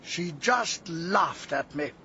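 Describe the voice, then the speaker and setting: An actor playing an aggrieved, vengeful middle-aged gardener and scoutmaster in a radio drama, with a wounded manner. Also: male voice, fairly high pitch, lively intonation, pushed and bright, exaggerated voice. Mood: bitter, wounded. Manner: wounded, bitter, deliberate. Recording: dull sound, faint background noise.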